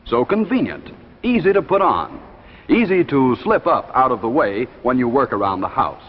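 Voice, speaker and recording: An American man announcing and pitching products in a polished, emphatic 1950s television advertising style. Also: very animated, normal speed. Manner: polished, emphatic salesman delivery. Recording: muffled 1950s broadcast with hall reverb.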